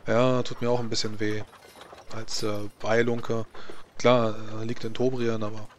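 A man improvising in-character fantasy roleplay talk, halting as he searches for words. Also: mid-range voice, level tone, slight background noise.

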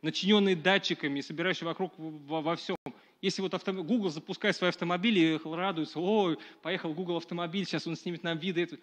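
A man's voice: a little high, steady tone, fast, few pauses, a bit bright. Middle-aged man lecturing, full of fillers. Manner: conversational, many fillers and restarts, talks to the audience. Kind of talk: public lecture to a live audience. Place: small dark lecture room with a projection screen.

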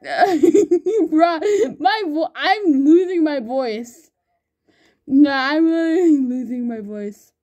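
A woman chatting excitedly while playing an online game with a friend, laughing often and calling everyone bro. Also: sits high, takes it slowly. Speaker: gamer recording gameplay. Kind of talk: excited gaming banter with a friend.

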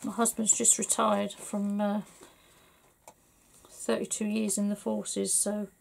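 A middle-aged woman from England, slightly hoarse, chatting her way through a papercraft tutorial at her desk with lots of fillers. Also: mid-range voice, flat delivery, takes it slowly, rough recording. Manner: chatty, rambling, full of fillers. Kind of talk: casual crafting tutorial.

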